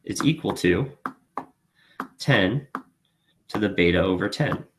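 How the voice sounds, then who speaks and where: A man's voice: low-pitched, animated, takes it slowly, noisy background. A man explaining a worked problem step by step as a tutor, informally thinking aloud. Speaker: physics tutor.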